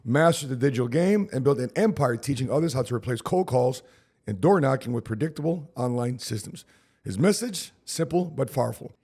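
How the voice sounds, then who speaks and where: A man with a husky voice hosting a podcast interview in a punchy, slangy way. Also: mid-range voice, darkish tone. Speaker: podcast host and insurance agency founder. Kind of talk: hyped podcast introduction and casual interview.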